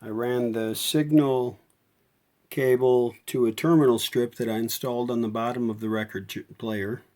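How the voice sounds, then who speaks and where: A man with a mellow, relaxed voice at an average pitch talks through a hands-on repair. He explains each step in a folksy, unscripted way, as a restorer showing his work. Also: normal speed. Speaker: radio restorer giving a repair video.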